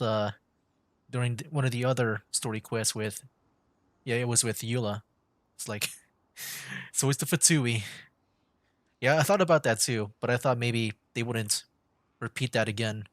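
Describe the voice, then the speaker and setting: A man's voice: medium pitch, no room sound. Chuckling male streamer, dry close mic. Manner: thinking aloud, chuckling, sarcastic asides. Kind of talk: casual game stream commentary.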